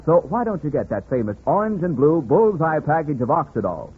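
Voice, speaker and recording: A man's voice: on the high side, sing-song, brisk, slightly rough, narrow, tinny audio. An American man announcing in polished 1940s radio commercial style, like a salesman addressing listeners. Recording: thin, murky 1940s radio.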